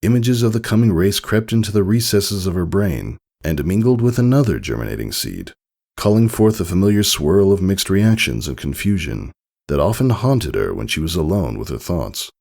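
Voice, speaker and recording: A man reading a story aloud in expressive scripted narration. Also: sits low, moderate pace, creaky.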